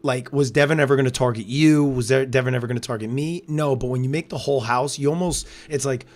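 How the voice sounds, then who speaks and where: A man with a loud, raspy voice, hoarse after losing it, co-hosting a casual live watch-along and reacting to the chat. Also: medium pitch, quick pace. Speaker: podcast co-host. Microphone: close podcast microphone.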